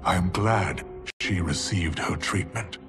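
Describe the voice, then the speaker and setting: An actor playing a stern, imperious military commander in a video game, formal, grave and commanding. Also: male voice, low-pitched, unhurried, over music. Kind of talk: cinematic video game acting.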